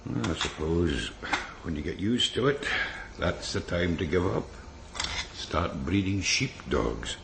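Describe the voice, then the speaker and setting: An actor playing a blunt, world-weary police pathologist in a radio crime drama. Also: male voice, low-pitched, animated, vocal fry, exaggerated voice. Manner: blunt, dry, hesitant pauses. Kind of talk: naturalistic radio drama acting. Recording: roomy echo, faint background noise.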